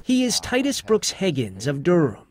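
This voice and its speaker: A man's voice: sits high, huge pitch swings, normal speed, noisy background. A man narrating a television news special with a polished, scripted delivery. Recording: music bed underneath.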